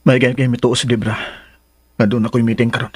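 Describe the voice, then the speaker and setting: A man's voice: mid-range voice, level tone, fast, slightly rough, no echo, close to an everyday voice. An actor playing a troubled young man in a radio drama, brooding aloud over his dilemma. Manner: brooding soliloquy.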